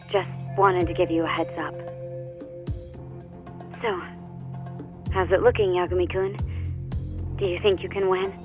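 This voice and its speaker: A woman's voice: on the low side, steady tone, a little slow, pauses often. A woman playing a composed, supportive informant ally in a video game, heard through a phone filter. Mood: concerned, composed. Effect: phone call filter. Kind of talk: English video game cutscene acting. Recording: dull phone call, music behind.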